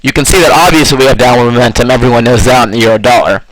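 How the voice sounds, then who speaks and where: A man with a slightly hoarse voice, walking through trading charts in a loose, casual way with lots of fillers. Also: medium pitch, steady tone, very fast, a bit bright, dry recording, low-quality recording. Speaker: forex trading analyst.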